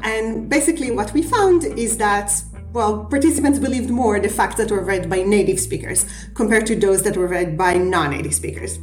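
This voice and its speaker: A woman researcher with an Israeli accent, describing her study in a hesitant way with many pauses. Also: medium pitch, over music. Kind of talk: interview answers. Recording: echoey room.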